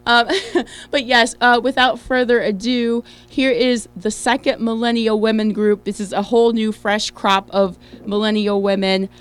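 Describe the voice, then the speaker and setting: A woman hosting a radio dating show, chatty and slightly hoarse from losing her voice, with lots of ums. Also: fairly high pitch, little pitch movement, unhurried, hardly any pauses. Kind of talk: casual radio show intro.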